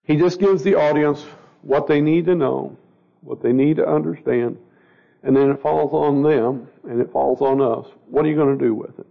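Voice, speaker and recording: A man's voice: fairly high pitch, fairly even intonation, moderate pace. Scratchy-voiced man teaching Bible study. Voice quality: gravelly. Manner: conversational, repeats words, asks the group questions. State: stuffy and a little scratchy from a cold. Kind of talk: informal Bible study lesson. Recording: dull lo-fi recording.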